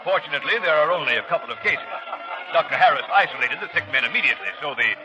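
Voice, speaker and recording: An actor playing a genial, courteous army colonel with hearty 1930s radio delivery. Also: male voice, fairly high pitch, expressive, racing, lightly acted, poor audio. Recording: vintage radio drama with music behind.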